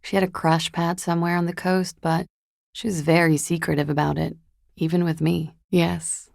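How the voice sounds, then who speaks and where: Casting: a secretive young female disco dancer in a game, coy and understated, very gravelly fry, close dry sound. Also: on the low side, flat delivery, normal speed, darkish tone, no echo. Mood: coolly evasive. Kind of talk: video game voice acting.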